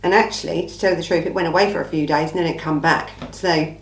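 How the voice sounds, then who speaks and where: A woman from England hosting a casual true crime Q&A, her voice croaky from a cold, talking in a blunt, chatty, opinionated way. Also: sits low, fairly even intonation, fast, roomy sound, distant mic, a little hiss or hum, rough recording. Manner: chatty, blunt, opinionated, says "listen" often. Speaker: true crime channel host. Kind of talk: casual Q&A monologue.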